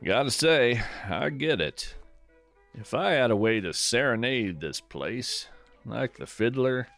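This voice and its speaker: A man narrates a nature podcast in a contemplative, scripted style. He speaks slowly with a lively, varied pitch and a gently wry manner. Faint fiddle music plays behind him.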